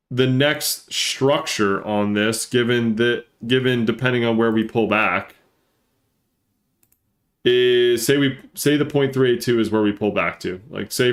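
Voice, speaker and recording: A man with a congested, stuffy-nosed voice, explaining things casually as a live-stream market analyst and repeating points for emphasis. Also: mid-range voice. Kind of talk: casual live chart commentary and trading lesson.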